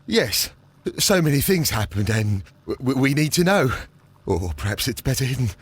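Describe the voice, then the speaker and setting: An actor playing a nervous, haunted councillor in a video game, stammering heavily in a hesitant, apologetic way. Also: male voice, mid-range voice, big pitch swings, normal speed, slightly rough. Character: nervous, haunted, guilt-ridden male councillor and archaeology enthusiast. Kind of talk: scripted video game acting.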